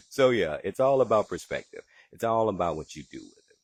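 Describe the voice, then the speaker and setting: Deep husky Southern man, chatty. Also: sing-song, normal speed, no room sound. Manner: easygoing, chatty, answers viewer questions. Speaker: gardening show host. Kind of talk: live-stream garden chat. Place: outdoors in his backyard garden.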